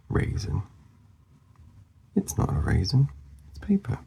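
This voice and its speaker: A man talking casually as he works through a drawing, mumbling and rambling in a soft voice that often drops to a whisper, and he is losing his voice. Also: medium pitch, huge pitch swings, slow, creaky, husky. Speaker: artist demonstrating a drawing.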